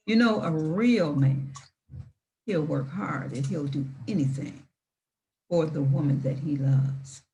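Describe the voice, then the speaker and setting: A woman reading aloud in an emphatic, deliberate manner, with informal asides. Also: sits low, dark tone, slight background noise, low-quality recording. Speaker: reader with commentary.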